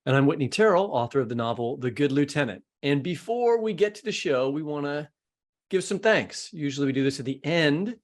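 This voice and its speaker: Higher-pitched American man hosting a podcast. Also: lively intonation, fairly quick. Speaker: podcast co-host. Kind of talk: conversational hosting, part scripted introductions.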